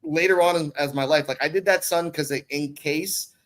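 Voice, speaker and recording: A man's voice: on the high side, moderate pace, a bit bright. A man from the Bronx talking casually as a podcast guest, rambling and slangy, with swearing mixed in. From the Bronx, New York, United States. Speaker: guest, online content creator and podcaster. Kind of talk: casual conversational podcast talk.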